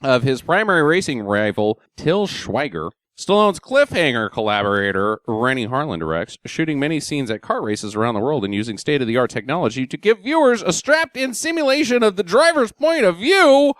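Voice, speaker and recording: A man's voice: fairly high pitch, very animated, a little fast, hardly any pauses, a bit bright, smooth, dry recording. A man hosting a podcast in casual chat, swearing freely and reading listener reviews aloud. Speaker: podcast host.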